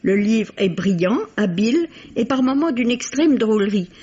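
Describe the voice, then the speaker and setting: Slightly husky woman book reviewer. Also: a little high, lively intonation, moderate pace, mellow. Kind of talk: scripted radio book review. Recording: muffled vintage radio recording.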